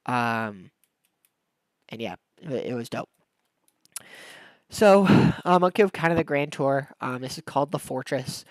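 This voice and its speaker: A man's voice: mid-range voice, expressive, a little slow, long pauses, dry recording. A young man chatting casually on a game livestream, rambling in a laid-back, slangy way with a congested, nasal voice from a cold. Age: young adult. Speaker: game streamer. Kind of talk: casual livestream chat while gaming.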